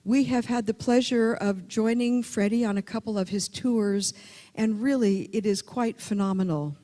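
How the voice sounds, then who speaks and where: A woman hosting a conference, reading out a measured, admiring introduction of the next speaker. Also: medium pitch, warm tone. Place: conference hall. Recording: long hall reverb.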